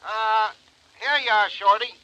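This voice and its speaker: An obliging message-taker in an old radio drama. His voice is very high for a man and bright. It comes through a dull, vintage recording. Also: fairly even intonation, unhurried. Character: helpful man reading out a telegram. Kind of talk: 1940s American radio acting.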